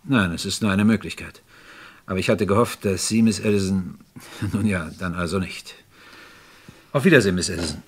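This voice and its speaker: An actor playing a patient, probing police inspector in a German radio crime drama, with a courteous, persuasive way of questioning. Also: male voice, sits low, normal speed, long pauses, husky. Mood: patient, pressing.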